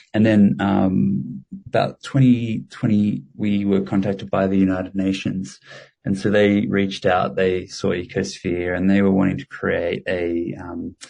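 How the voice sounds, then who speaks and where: A man answering interview questions in a relaxed way, with many fillers and restarts. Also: low voice, vocal fry, slightly rough. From Australia. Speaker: guest, studio co-founder.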